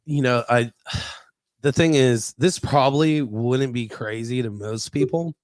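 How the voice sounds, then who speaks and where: A man's voice: medium pitch, measured pace, no echo. An American man around forty telling stories in a casual, profane, slangy podcast chat style. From Ohio, United States.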